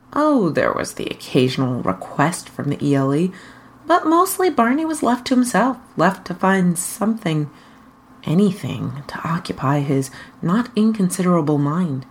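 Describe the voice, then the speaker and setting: Woman, low pitch, scripted story narration, audiobook-style reading, faint background noise. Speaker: fan fiction reader.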